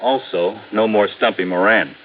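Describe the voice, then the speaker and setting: A man's voice: on the low side, moderate pace, natural voice. An actor playing a brash, cocksure arson squad policeman, blunt and slangy, in an old radio drama. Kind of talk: 1950s radio drama acting. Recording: noisy, narrow old radio recording.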